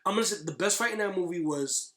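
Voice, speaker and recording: Guy on a podcast, voice a bit high, slangy and agreeable, just chatting casually. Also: quick pace, fairly bright tone. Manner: slangy, agreeable, restates his points. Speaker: podcast guest. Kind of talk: casual podcast chat about movies and games.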